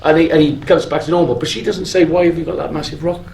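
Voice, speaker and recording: A man's voice: a little high, fairly even intonation, quick pace, vocal fry, darkish tone. A man speaking as a guest on a live convention panel, chatting casually in rambling, slightly hesitant anecdotes. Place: on stage at a fan convention. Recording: noisy live hall with room ambience.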